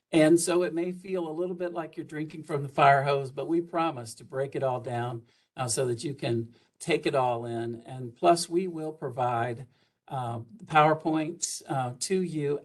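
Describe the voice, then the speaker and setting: A man's voice: medium pitch, a little mellow. Folksy man welcoming on a call. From South Georgia, USA. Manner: folksy, with frequent fillers. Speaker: college administrator introducing the president. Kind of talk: welcoming remarks on a video call. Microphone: video call.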